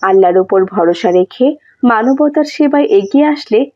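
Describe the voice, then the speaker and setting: A woman's voice: on the high side, animated, moderate pace, lo-fi sound. A woman narrating a scripted moral story for children as a storyteller.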